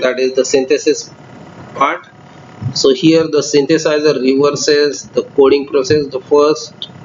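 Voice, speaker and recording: A man lecturing through a technical block diagram. He reads textbook-style sentences and then adds loose spoken remarks of his own. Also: medium pitch. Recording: dull lo-fi recording, faint noise.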